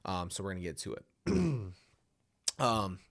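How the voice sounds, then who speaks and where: A man's voice: low-pitched, sing-song, a little slow. A man hosting a casual livestream, a little nasal from a stuffy nose, rambling and apologetic as he talks to his viewers. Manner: rambling, apologetic, restarts often. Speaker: livestream host reading viewer stories. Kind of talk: casual livestream chat and story reading.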